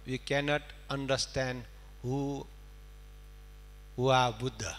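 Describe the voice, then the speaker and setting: Man from Nepal, non-native English, monk teaching, slow halting phrases, reverberant hall with hum. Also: mid-range voice, fairly even intonation. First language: not English. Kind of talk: Dhamma talk in simple broken English, pausing for interpretation.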